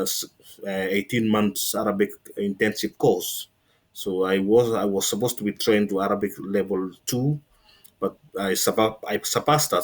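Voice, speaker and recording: A middle-aged man with a Kenyan accent, giving a conversational account as an interview guest and often adding "you know" as he explains. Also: on the low side. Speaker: interview guest, former navy linguist.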